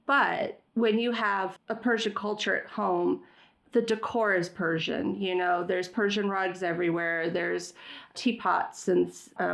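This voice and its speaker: Woman, middle-aged, gravelly voice, Farsi first language, reflective, fillers and restarts, interview guest. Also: lowish voice. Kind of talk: conversational interview.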